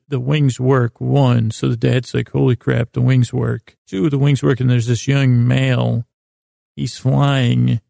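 A man hosting a sleep podcast, telling stories in a casual, meandering way with a gravelly voice, often halting and trailing off mid-thought. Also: mid-range voice, dark tone, no echo, clean studio sound. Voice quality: gravelly, creaky voice. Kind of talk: rambling casual bedtime storytelling monologue.

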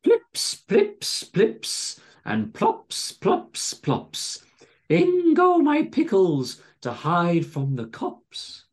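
A man voicing a gleeful, creepy thief in a comic story, tittering and chanting rhymes in drawn-out words. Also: high voice, huge pitch swings, voice pushed up, heavily acted. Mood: singing. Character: gleeful, creepy sing-song pickle-hoarding thief.

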